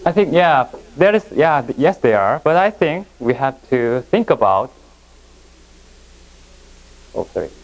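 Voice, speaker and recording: A Korean-accented man gives a classroom presentation in English, his second language. He speaks haltingly, restarting sentences and searching for words. His voice sits at a medium pitch for a man. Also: very animated, low-quality recording.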